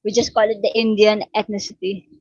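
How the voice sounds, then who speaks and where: A woman's voice: mid-range voice, little pitch movement, darkish tone, no room sound. Woman in her twenties, from Suriname, Dutch first language, casual talk, lots of ums, dry muffled sound. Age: 20s. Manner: unhurried, many fillers and restarts. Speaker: livestream host answering viewer questions. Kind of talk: casual chat.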